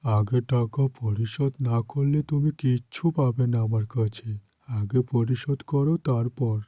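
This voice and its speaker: A man's voice: mid-range voice, steady tone, a little slow, warm tone, dry recording, studio quality, close to an everyday voice. A man voicing a harsh, greedy village landlord in a cartoon, scolding with stern scorn. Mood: stern, scornful.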